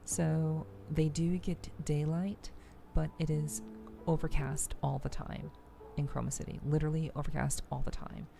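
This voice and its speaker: Female livestream host, low and fry-heavy, gentle rambling ASMR-style chat, background music. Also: level tone, moderate pace, few pauses, a little hiss or hum. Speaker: streamer, host of a weekly live show. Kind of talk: soft-spoken ASMR game stream chat. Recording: music playing behind the voice.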